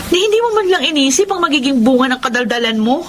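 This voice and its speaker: An actress playing a devoted but defiant grown daughter, anguished and emotional, in a Filipino radio drama. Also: female voice, high-pitched, expressive, fast talker, background noise. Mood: accusing, defensive. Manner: emotional, pleading.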